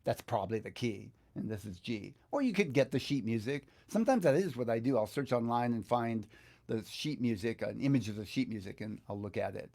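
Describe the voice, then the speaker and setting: A man's voice: medium pitch, expressive, a little fast, darkish tone. A middle-aged man hosting an informal step-by-step lesson, thinking aloud in a conversational way as he instructs. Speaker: guitar instructor, video host. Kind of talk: informal step-by-step screen tutorial.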